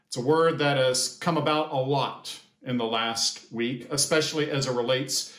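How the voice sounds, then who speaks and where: An American pastor in his fifties at a desk in a small echoey room, speaking slowly and deliberately to his congregation through a camera. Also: medium pitch, a bit bright. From United States. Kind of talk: sermon-like address to camera. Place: small indoor sitting room or office.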